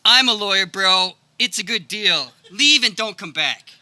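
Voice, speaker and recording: A woman's voice: mid-range voice, lively intonation, a little slow, bright, forceful tone. A woman playing a cocky, bossy stoner lawyer bro in a comedic radio play. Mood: cocky, negotiating. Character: brash slacker stoner dude playing self-important lawyer. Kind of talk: comedic radio theater acting. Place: backyard, live performance.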